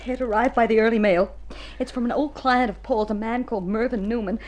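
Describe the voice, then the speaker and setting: An anxious wife played by a radio actress speaks in a fairly high voice. She is earnest, emotional and pleading, in the style of an old radio play. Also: steady tone, fairly quick, darkish tone, lightly acted. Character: anxious, distressed wife of a missing man. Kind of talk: mid-20th-century radio drama acting.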